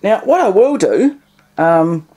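Rambling New man, slightly high voice. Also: very animated, slow pace, mellow. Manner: hesitant, rambling, wry asides. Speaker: vintage radio hobbyist demonstrating. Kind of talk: casual unscripted demonstration.

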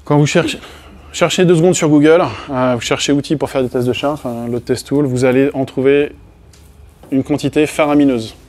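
A man's voice: medium pitch, fairly even intonation, brisk, a little mellow. A man in his thirties giving a technical talk to a small audience, casual, with many hesitations and restarts. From France. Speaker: conference speaker. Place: meeting room with projector and small audience.

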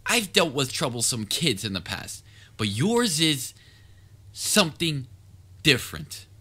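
A man's voice: medium pitch, sing-song, unhurried, bright, forceful tone, husky, heavily acted. A man playing a patient, sometimes exasperated but caring young babysitter in a roleplay audio drama, talking in a casual, coaxing way. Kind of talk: roleplay audio drama, speaking directly to listener.

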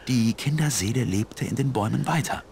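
An older man voicing a kindly elderly village priest in a cartoon game, telling tales in a measured way. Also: medium pitch, vocal fry, a little mellow, a little hiss or hum, close to an everyday voice. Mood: storytelling. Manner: measured, storytelling. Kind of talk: scripted cartoon game dialogue.

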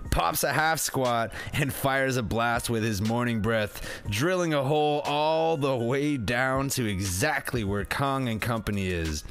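A man's voice: mid-range voice, a little slow, hardly any pauses, fairly bright tone, faint music behind. A man narrating a comedic film review as host, with a jokey, theatrical, slangy delivery.

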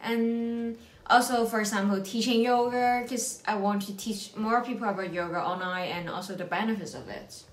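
A woman's voice: medium pitch, steady tone, normal speed, reverberant. A woman in her late twenties from Hong Kong with a Hong Kong English accent, co-hosting a couple vlog in a playful, slightly shy, giggly way from a small room at home. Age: late 20s. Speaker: vlog co-host, nurse and yoga teacher. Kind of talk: casual couple vlog introduction.

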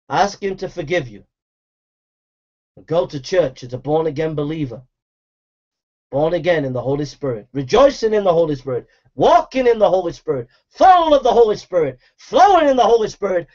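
A man delivering a fiery, exhorting sermon, hammering phrases over and over and mockingly imitating flattering preachers. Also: high voice, dull audio.

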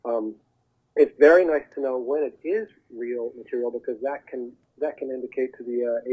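A man giving explanatory advice as an interview guest over the telephone, haltingly searching for his words. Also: medium pitch, breathy edge. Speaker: guest, voiceover agent. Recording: muffled narrowband phone line.

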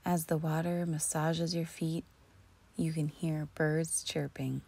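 Woman doing guided relaxation, lowish voice w some creak, breathy almost whispery, soothing. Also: little pitch movement, warm tone. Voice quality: breathy, often near a whisper. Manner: soothing, slow and deliberate with long pauses. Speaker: guided meditation host. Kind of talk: guided imagery relaxation narration.

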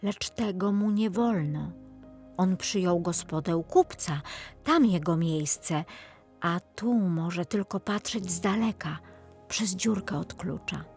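Slow, expressive woman storyteller. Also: fairly low pitch, faint music behind. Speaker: lead audiobook narrator. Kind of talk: scripted children's fairy tale narration.

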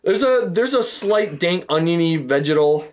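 A man's voice: a little high, animated, moderate pace. A man in his thirties co-hosting a beer review, describing flavors in detail and teasing his co-host at a table indoors. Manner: descriptive tasting talk, teasing. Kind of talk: casual chat. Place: indoor room with a tasting table.

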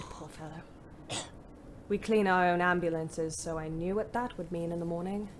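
Actress as a wry wartime ambulance driver, noisy backdrop. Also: female voice, on the low side, close to an everyday voice. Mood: grim, exhausted. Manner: wry, dramatic. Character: weary, bitter young British woman ambulance driver in the Great War. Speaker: narrator and lead actress. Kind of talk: first-person audio drama monologue.

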